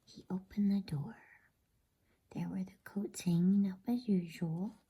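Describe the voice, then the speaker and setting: A woman reads a bedtime story in a soft unvoiced whisper, hushed and soothing. Her delivery is unhurried and low, and the sound is dark and deeply breathy, with a grainy fry at the edges.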